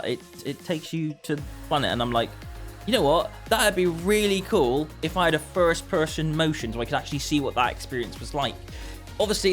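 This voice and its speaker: A man hosting a casual gaming podcast, chatty and prone to repeating himself. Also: medium pitch. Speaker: podcast host.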